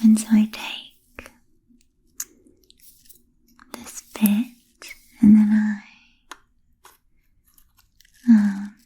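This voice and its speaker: A woman voicing a genteel, ancient female vampire in a whispered ASMR roleplay, speaking softly and breathily with halting, formal, fussy phrasing. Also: medium pitch, monotone, slightly rough, full character voice. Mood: puzzled, tentative. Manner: halting, hesitant, formal old-fashioned phrasing.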